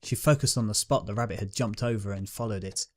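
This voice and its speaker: A man's voice: fairly low pitch, quick pace, darkish tone. A man narrating a dramatic scripted story as its reader.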